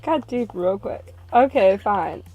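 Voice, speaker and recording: A woman's voice: medium pitch, animated, takes it slowly, highs cut off, faint music behind. A woman reading along and chatting casually with friends over a game, slangy and playful.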